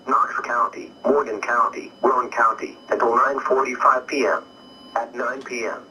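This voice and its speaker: A synthetic man's voice delivers an official weather warning with no personal touch. The tone is piercingly bright and the pitch is average. It sounds distant and slightly noisy, like a radio bulletin. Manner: formal, impersonal. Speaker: emergency alert announcer. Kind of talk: scripted emergency weather warning.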